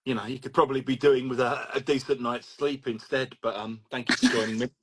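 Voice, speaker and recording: Gentle man hosting a video call interview. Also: medium pitch, fairly even intonation, a little fast, husky. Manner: gentle, hedging questions with fillers. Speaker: host, English teacher. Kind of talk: interview host.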